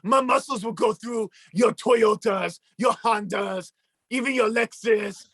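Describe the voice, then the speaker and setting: A man voicing a boastful mustached male puppet who shouts and brags like a cartoon villain, with a gravelly, husky voice. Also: sits high, measured pace, no room sound, clean studio sound, full character voice. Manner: shouting, bragging, mock-villainous. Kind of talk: comedic puppet bit on a talk show.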